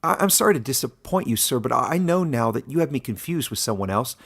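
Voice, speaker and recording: Exiled boy, played by a male narrator, earnest, defiant, strained, husky, creaky, fantasy storybook. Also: mid-range voice, expressive, a bit bright, heavily acted. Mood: nervous, insistent. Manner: strained, edgy, halting.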